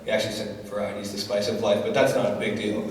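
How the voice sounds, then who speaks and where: A man's voice: fairly low pitch, little pitch movement, fast talker, far from the mic, heavy background noise. Teenage boy emceeing in an echoey hall. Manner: casual, loose, filler-heavy. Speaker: student emcee. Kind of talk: school assembly speech. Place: large school hall. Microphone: handheld mic heard from far off.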